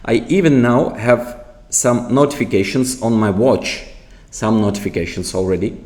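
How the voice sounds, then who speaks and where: A man giving an unscripted product tutorial in a halting, explanatory way. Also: on the low side, a little mellow. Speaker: product demonstrator.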